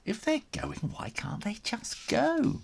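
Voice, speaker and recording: A man reading a children's story aloud as its author, theatrically, with dramatic pauses and whispery emphasis. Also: sits high, sing-song, gravelly, a little hiss or hum.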